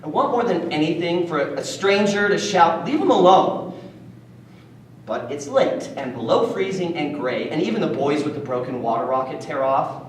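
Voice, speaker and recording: A man giving an animated solo reading of his play as a child narrator. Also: high-pitched, a little hiss or hum. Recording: distant echoey room.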